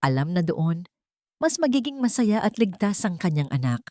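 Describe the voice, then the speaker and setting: A woman narrating a scripted romantic drama audiobook in a measured, dramatic storytelling manner. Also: on the low side, very animated, darkish tone, no echo, clean studio sound.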